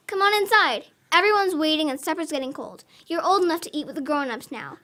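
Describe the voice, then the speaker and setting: A kindly farm grandmother of the Depression era, acted by a young girl in a school radio drama. The voice is very high and bright, with an earnest storytelling manner. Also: animated, fairly quick. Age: child, about 10.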